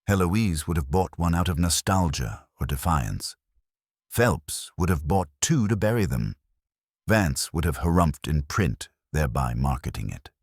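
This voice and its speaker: A man's voice: very deep voice, expressive, unhurried, breathy edge, no room sound, studio quality, heavily acted. A man voicing a smug, pretentious artist who justifies himself with theatrical, polished phrasing. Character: smug, pretentious male artist defending his schemes.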